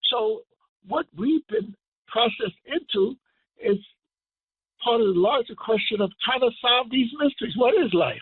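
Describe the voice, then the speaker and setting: A man's voice: very high voice, animated, unhurried, breathy edge, dry recording. Older male guest lecturer, emphatic and rising, thin dry phone-line sound. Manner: emphatic, rising to forceful declarations. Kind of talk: impassioned radio lecture.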